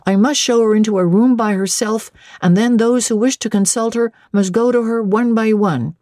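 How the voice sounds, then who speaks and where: A woman's voice: a little high, moderate pace, dark tone, dry recording, clean studio sound, pitched above the performer's own voice, natural voice. A woman voicing a deferential male footman in an audiobook, earnest and servile, with rustic, plain-spoken turns of phrase. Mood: earnest, reporting.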